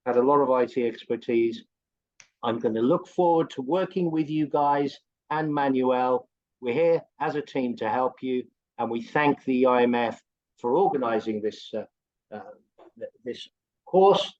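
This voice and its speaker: A man's voice: a little slow, a little mellow. An older Englishman talks in a rambling, chatty way, his mid-range voice leaping upward as he gets keen. He is speaking over a video call, and the sound comes through dull and lo-fi. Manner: chatty and rambling, with big upward swings in pitch. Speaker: course co-instructor. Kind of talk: promotional video-call chat.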